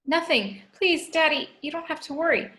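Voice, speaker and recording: A woman's voice: sits high, fairly quick, fairly bright tone. A woman playing a frightened teenage girl who pleads with her father in a shaky, breathless way, in a home table read. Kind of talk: amateur table read over video call.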